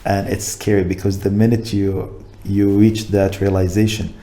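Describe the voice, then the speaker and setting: A man's voice: lowish voice, little pitch movement, normal speed, mellow. A middle-aged man from Jordan, a guest talking casually in an interview, thoughtful and full of fillers. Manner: thoughtful, many fillers, laughs easily. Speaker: guest, coffee importer.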